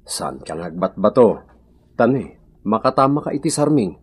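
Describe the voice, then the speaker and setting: An actor playing an excitable, apologetic village father in a radio comedy-drama, speaking expressively with an Ilocano accent. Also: on the low side, normal speed, breathy edge. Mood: friendly. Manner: exclamatory, expressive.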